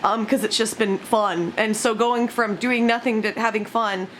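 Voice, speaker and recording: A woman's voice: a little high, fairly even intonation, normal speed, a bit bright. Scratchy-voiced giggly young woman. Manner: giggly, rambling, frequent laughs and swearing. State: scratchy just-woken voice. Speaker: YouTuber. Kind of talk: casual vlog chat.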